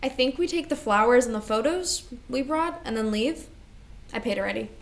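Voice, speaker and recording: Woman acting responsible grieving elder sister, composed, fairly high voice, stage drama reading. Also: expressive, moderate pace. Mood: conciliatory.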